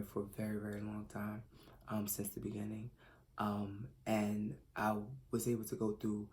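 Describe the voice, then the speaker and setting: A man in his late twenties from Philadelphia makes an earnest audition pitch as a fashion designer. His voice is low and mellow and stays quite flat. He hesitates often, stumbling through fillers and restarts like a shy, reserved speaker. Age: late 20s. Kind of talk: self-recorded audition pitch.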